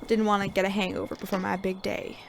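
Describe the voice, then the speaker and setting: A woman's voice: medium pitch, little pitch movement, fast talker, gravelly, very noisy, close to an everyday voice. A woman playing a weary, sardonic veteran male sheriff in a Western audio drama, with an American accent and a dry folksy drawl. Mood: wry, resigned.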